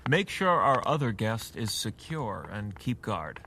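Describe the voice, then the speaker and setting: A man playing a calm, dry-witted gentleman hero, speaking politely and with measured understatement in a radio drama. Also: on the low side, noisy background. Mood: dry, commanding.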